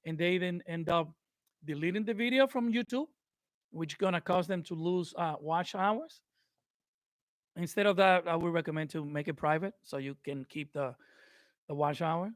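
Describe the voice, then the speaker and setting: A man's voice: on the high side, normal speed, no echo, studio quality. A man whose first language is Spanish gives casual advice to viewers, hesitant and full of fillers and restarts. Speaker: YouTube creator. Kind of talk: casual advice talk to camera.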